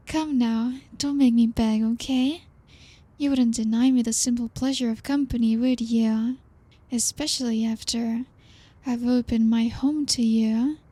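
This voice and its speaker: A woman voicing a lonely, seductive female vampire in an ASMR roleplay, speaking softly with teasing laughs and dropping into whispers. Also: fairly high pitch, no echo. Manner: slow, teasing and coaxing, soft-spoken with soft laughs; drops into whispers.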